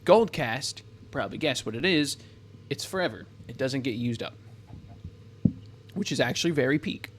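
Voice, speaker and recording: A man talks casually over his gameplay at a middling pitch, thinking aloud as he plays. He rambles and keeps stopping to correct himself. Faint noise sits under the voice. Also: expressive, normal speed, pauses often. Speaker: gameplay commentator. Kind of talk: casual let's-play commentary.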